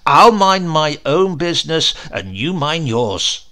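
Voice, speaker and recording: A man's voice: on the high side, big pitch swings, slow pace, sharp tone, pitched above the performer's own voice, heavily acted. A man voicing a crude, impatient tough man in a storybook, curt and aggressive. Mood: angry.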